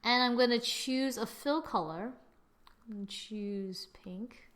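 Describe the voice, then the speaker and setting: A young woman with a fairly high voice walks through a screen-recorded software lesson. She chats casually and thinks aloud as she works, teasing her own results. It sounds like a computer microphone at a desk. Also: unhurried. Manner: chatty, self-mocking, thinks aloud while clicking. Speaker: software tutorial presenter.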